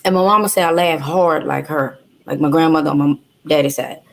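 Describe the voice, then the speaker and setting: A woman from Louisiana with a Creole accent, telling her life story as an interview guest in an animated, salty way full of slang and swearing. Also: deep voice, a little fast, creaky.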